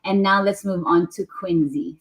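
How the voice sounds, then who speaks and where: A woman's voice: mid-range voice, moderate pace, faint music behind, low-quality recording. A woman hosting a live online panel, upbeat as she introduces her guests. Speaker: host.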